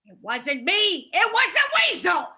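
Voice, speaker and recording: A woman playing a blustering but cowardly male heffalump bully in a play reading, with halting delivery. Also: extremely high pitch, very animated, sharp tone, heavily acted. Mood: panicked. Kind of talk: dramatized play script reading.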